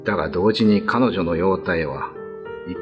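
A man's voice: low-pitched, measured pace, over music. A man narrating a scripted classic ghost story as a radio drama narrator.

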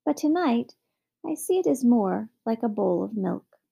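A woman voicing a poor, kindly old woman in a storybook, gentle and willing. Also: fairly high pitch, very animated, measured pace, creaky, slightly rough, heavily acted. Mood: sad, sighing.

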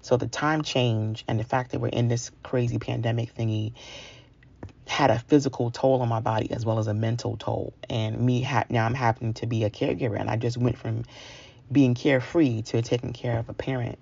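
A woman's voice: a bass voice, steady tone, fairly quick, creaky, a little mellow, breathy edge, highs cut off. A woman of around forty from New York, telling a personal story in a candid, conversational, confiding way. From New York, United States. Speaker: featured storyteller.

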